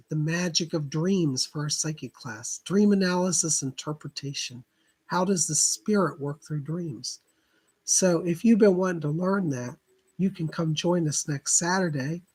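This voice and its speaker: A man hosting a live psychic reading stream, hoarse from laryngitis, chatting casually and clearing his throat often. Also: on the high side. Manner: chatty, greets viewers by name, keeps clearing his throat.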